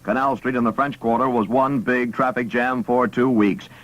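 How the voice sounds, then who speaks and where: A man reading a radio newscast from the late 1950s in a brisk, clipped headline style. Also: mid-range voice, fairly even intonation. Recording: muffled old AM radio broadcast.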